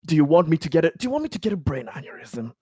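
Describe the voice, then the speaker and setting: A man's voice: fairly high pitch, very animated, very fast, warm tone, breathy edge, dry recording, clean studio sound, voice pushed up, full character voice. A man playing an injured, paranoid colonist in a tabletop game, stammering and repeating himself. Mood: frightened, indignant.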